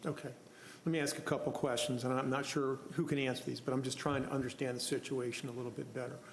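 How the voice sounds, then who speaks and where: Presiding man, fast and polite questioner, echoey chamber. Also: medium pitch, level tone, a little hiss or hum. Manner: brisk, probing, polite. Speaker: special magistrate presiding. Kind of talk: questioning at a hearing. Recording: echoey hall from council mic.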